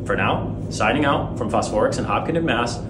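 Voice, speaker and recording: A man in his thirties, a business development director, presenting a scripted laboratory tour in a steady, formal manner and reading technical terms evenly. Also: medium pitch, fairly quick, very noisy.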